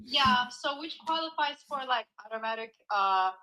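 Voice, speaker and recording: A woman from Ethiopia asking for advice as a caller on a live stream, casual and halting in her phrasing. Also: high voice, expressive, sharp tone, poor audio. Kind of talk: casual, tentative question on a live call.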